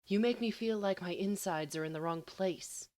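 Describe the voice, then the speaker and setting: A woman's voice: medium pitch, normal speed, a little mellow, lightly acted. A woman reading the part of a lovesick, self-doubting teenage boy in a storybook, earnest and hesitant. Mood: adoring.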